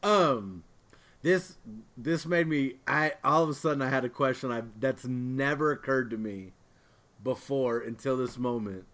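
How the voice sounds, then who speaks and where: A man's voice: mid-range voice, unhurried, fairly bright tone. A man hosting a podcast in casual chat, joking around and asking curious questions. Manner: joking, inquisitive. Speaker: podcast host.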